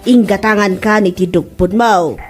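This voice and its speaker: A woman's voice: medium pitch, moderate pace, faint music behind, natural voice. A woman playing a stern but neighborly mother in a comedy drama, with an Ilocano Filipino accent. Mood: scolding. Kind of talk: amateur comedy radio-style drama.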